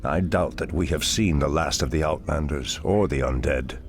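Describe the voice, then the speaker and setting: An actor playing an ancient night elf archdruid in a fantasy game, with grave, formal, commanding speech. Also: male voice, moderate pace. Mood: grave, measured. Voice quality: very deep, gravelly. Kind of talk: dramatic fantasy game acting. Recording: orchestral game music behind voice. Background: loud music.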